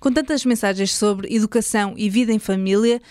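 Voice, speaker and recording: A woman's voice: mid-range voice, steady tone, fairly quick, a little mellow, no room sound, clean studio sound. A woman with a European Portuguese accent reading scripted journalistic narration as a podcast host.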